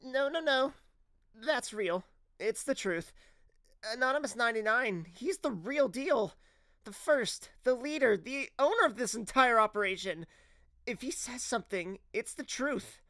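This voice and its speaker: An excitable teenage boy gamer in an audiobook drama, acted by a woman. The voice is high and very animated, with smug emphasis. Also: a little slow, no room sound, clean studio sound. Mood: grave.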